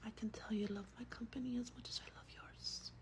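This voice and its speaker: A woman's voice: fairly high pitch, fairly even intonation, close to an everyday voice. Whispering, tender vampire woman, acted out for ASMR. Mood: tender, soothing. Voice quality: breathy whisper. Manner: tender, unhurried, intimate. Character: affectionate female vampire soothing her human darling. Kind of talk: soft-spoken ASMR roleplay and book reading.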